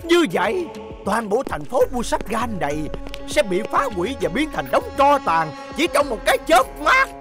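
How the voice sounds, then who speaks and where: A grandiose old cartoon villain with a lively, swooping delivery. He is menacing, bossy and quick to scold. Music plays clearly behind him. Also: extremely high pitch, exaggerated voice. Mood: gloating. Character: scheming, hot-tempered older male villain boss. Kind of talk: dubbed cartoon acting.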